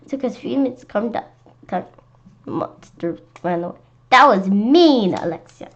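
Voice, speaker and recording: Woman, children's read-aloud, animated and exclamatory, medium pitch, muffled lo-fi sound, stumbles while reading. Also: normal speed. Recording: dull lo-fi sound with clear background noise.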